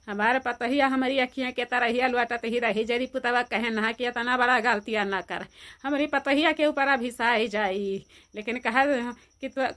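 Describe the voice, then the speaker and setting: A woman with an Awadhi accent playing a grieving, middle-aged village mother-in-law in a cartoon drama, plaintive and drawn-out in her lamenting. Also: a little high, fairly bright tone. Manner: plaintive, drawn-out lamenting, breaking into mournful song. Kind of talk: amateur cartoon drama dub.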